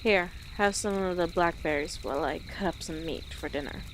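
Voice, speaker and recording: A woman playing a small, wry orc traveler in an immersive audio roleplay, gruff but caring, with a dry, hesitant, matter-of-fact manner. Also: lowish voice, moderate pace, close to an everyday voice. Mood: matter-of-fact, friendly. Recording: clear outdoor nature ambience noise.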